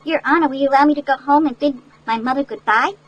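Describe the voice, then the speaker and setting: A woman's voice: sits high, fast talker, highs cut off, a little hiss or hum, close to an everyday voice. Older woman reading as a polite, unflappable young man. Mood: polite pleading. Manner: courteous, calm, unbothered. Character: polite, unflappable young Chinese brother from a storybook.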